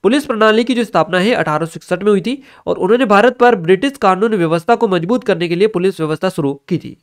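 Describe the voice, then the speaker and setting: A man's voice: high voice, fast. A man giving an explanatory exam-review lecture as a teacher, instructive and repeating key points for emphasis.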